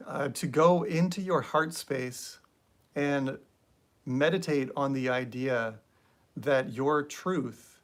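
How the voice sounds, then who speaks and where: A young adult man hosting a spiritual live stream, talking in a relaxed, rambling way with many fillers and reading viewer comments aloud. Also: mid-range voice. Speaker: spiritual channel host.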